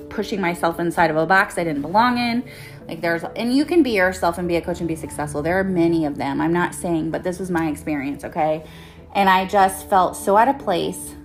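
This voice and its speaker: A woman in her thirties telling personal stories casually and candidly, rambling and swearing freely as she speaks as a host. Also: fairly low pitch. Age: 30s. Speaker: host, author and motivational speaker. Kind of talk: casual personal storytelling monologue. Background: music.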